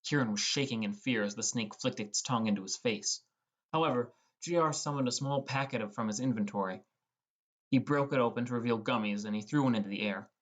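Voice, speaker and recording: A man's voice: medium pitch, a little fast, a bit bright. A man reading scripted first-person narration as the narrator of an audiobook.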